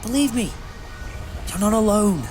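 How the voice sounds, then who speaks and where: A man's voice: high voice, lively intonation, unhurried, music in the background. A man playing an eccentric time-travelling hero in an audio drama, wry, modest and moralising, with a theatrical manner. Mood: earnest, indignant.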